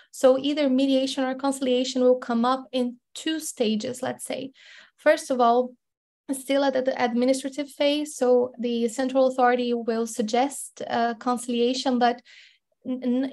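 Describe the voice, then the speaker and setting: Woman, 30s, lawyer on a webinar call; slightly high, steady and level delivery. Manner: thoughtful, explanatory, frequent restarts and fillers. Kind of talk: expert webinar talk. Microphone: laptop mic on video call.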